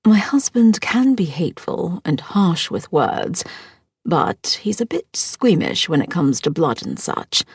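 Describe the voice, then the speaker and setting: A woman voicing a gracious older mother-in-law who speaks formally and softly, at times near a whisper. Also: lowish voice, big pitch swings, a little slow, breathy edge, no echo, full character voice. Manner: formal and soft-spoken.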